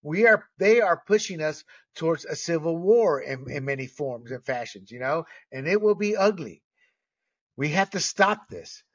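Emphatic American man, higher-pitched voice, dull recording. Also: animated, moderate pace. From United States. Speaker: movie commentator. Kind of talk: opinionated solo commentary. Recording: dull, lo-fi sound.

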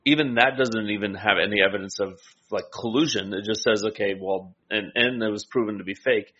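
A man's voice: lowish voice, fairly quick, fairly bright tone, breathy edge, muffled recording. A man co-hosting a casual political discussion, explaining points and voicing imagined quotes as he goes. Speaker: political talk show co-host.

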